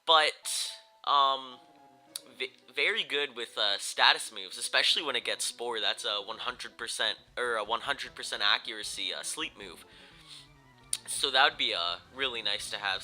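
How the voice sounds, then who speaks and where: A man's voice: medium pitch, bright, forceful tone. A young man with a stuffy, congested voice, casually commenting on his gameplay, rambling with many ums and self-corrections. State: stuffy nose from a cold. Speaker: gaming YouTuber. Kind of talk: casual Let's Play commentary. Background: game music.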